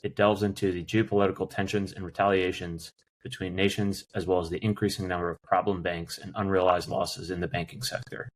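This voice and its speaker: A man reading a scripted episode summary aloud in an even announcer delivery. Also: low-pitched, fairly quick, a little hiss or hum, low-quality recording. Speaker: show announcer.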